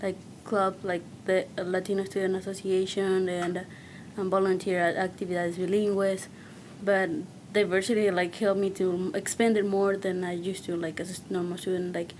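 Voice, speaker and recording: A young woman from Mexico with a Mexican Spanish accent, answering interview questions as a student and pausing to search for her words. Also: mid-range voice, little pitch movement, a little mellow, slight background noise, poor audio. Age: young adult.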